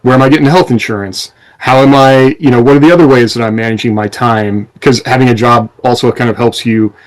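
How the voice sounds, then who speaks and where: A man speaks as a podcast co-host in casual conversation. His delivery rambles a little as he explains things, with a middling pitch and an everyday conversational tone. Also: fairly even intonation, brisk, low-quality recording. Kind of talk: casual conversation among co-hosts.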